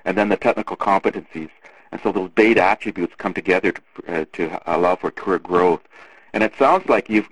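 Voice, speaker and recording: A male host with a very low voice asks measured questions and explains ideas at length. He sounds a little distant from the mic, in a dry recording. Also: fairly bright tone, no echo. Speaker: interview host. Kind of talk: podcast interview.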